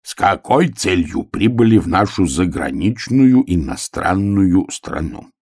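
A man voicing a self-important, stubborn bear cub who plays a stern official in a storybook, solemn, gruff and deliberate. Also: low voice, animated, a little mellow, no room sound, clean studio sound, exaggerated voice. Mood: officious.

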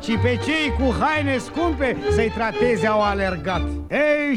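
An actor playing a wily, excitable Turkish folk trickster in a 1950s radio comedy, telling rhymed tales in an exclamatory sing-song manner. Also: male voice, high voice. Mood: comic storytelling.

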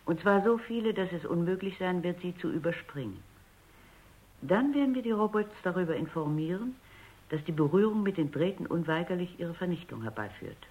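An actress playing a stern, coolly analytical robot psychologist in a radio drama, precise, commanding and didactic. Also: female voice, on the low side, lively intonation, brisk, a little mellow, slight background noise, close to an everyday voice. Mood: brisk, resolute. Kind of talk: German radio drama acting. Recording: muffled, dull radio drama recording.

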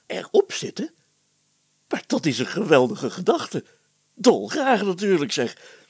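A man's voice: high-pitched, wildly swooping pitch, a little slow, darkish tone, husky, dry recording, voice pushed up, heavily acted. A man voicing a pompous, jovial village mayor who speaks emphatically and hems solemnly in a storybook reading. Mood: elated.

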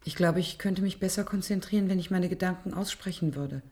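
A woman playing an earnest, obedient female test subject in a radio play, reciting deliberately and precisely. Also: on the low side, darkish tone, close to an everyday voice. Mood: concentrated. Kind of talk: German radio play acting.

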